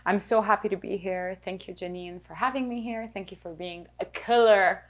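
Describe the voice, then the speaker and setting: A woman's voice: mid-range voice, fairly even intonation, normal speed. A woman nutritionist from Lebanon, raised in Kuwait, talking chattily as a podcast guest. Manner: chatty, many fillers, reflective. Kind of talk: casual interview chat.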